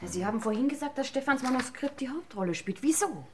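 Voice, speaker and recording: A woman's voice: sits high, lively intonation, quick pace, a little hiss or hum. An actress playing an indignant married woman in a German radio crime drama, questioning and defending in a challenging way. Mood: puzzled, questioning.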